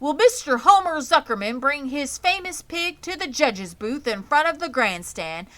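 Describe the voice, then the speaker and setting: Woman as a formal fairground announcer, raised pitch. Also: expressive, normal speed, sharp tone. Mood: formal announcing.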